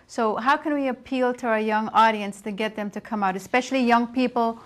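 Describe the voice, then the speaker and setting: A woman's voice: fairly high pitch, steady tone, brisk. A woman interviewing a guest in a conversational style in a TV studio.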